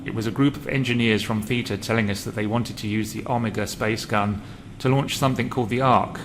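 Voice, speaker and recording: A man's voice: fairly low pitch, fairly even intonation, brisk. A man narrating a game role play and reading journal entries aloud. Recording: loud background noise.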